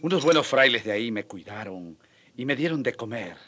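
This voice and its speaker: An actor playing a weary but passionate middle-aged friar in a radio drama, speaking earnestly and declaiming. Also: male voice, mid-range voice, expressive, fairly quick, fairly bright tone. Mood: weary, dejected.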